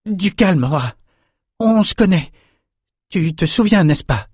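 A man's voice: sits high, level tone, a little fast, a little mellow, husky, no echo, studio quality, pitched above the performer's own voice. A man voicing a brave, earnest young swordsman with an urgent, impassioned manner, in a fantasy storybook. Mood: urgent, pleading.

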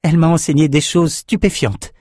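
A man voicing a gentle, wistful young woman, a devoted sister, in a fantasy audiobook. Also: fairly high pitch, fairly even intonation, a little fast, dry recording, clean studio sound, voice pushed up, close to an everyday voice. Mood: sad, wistful.

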